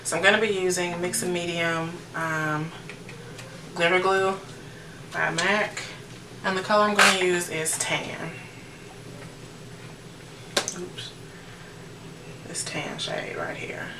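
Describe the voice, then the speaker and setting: An American woman in her late thirties talks casually through a makeup routine. Her voice is low and gravelly at the edges. It is picked up at a distance in an echoey room. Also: slow pace, pauses often. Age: late 30s. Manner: chatty, informal, addressing viewers directly. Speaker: beauty vlogger. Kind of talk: casual chatty makeup tutorial. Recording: roomy, distant mic with noticeable background noise.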